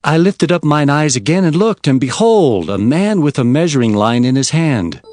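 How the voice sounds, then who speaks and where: A man's voice: a little high, animated, normal speed, darkish tone, dry recording, lightly acted. An actor playing an earnest prophet recounting his visions, in solemn and dramatic scripture narration. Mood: awed, narrating.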